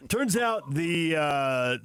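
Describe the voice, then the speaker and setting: An American man hosts a sports talk radio show in a somewhat high voice. He announces segments and banters with colleagues. The sound is dry and close. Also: very animated, drawn-out words, a bit bright, clear, resonant tone, dry recording.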